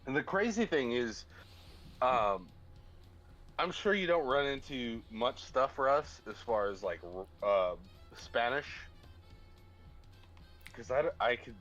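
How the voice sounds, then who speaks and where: A man's voice: mid-range voice, animated, slow, long pauses, fairly bright tone, faint music behind. Rambling American man on a dull line. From southern United States. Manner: rambling, many fillers and restarts. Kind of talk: casual chat.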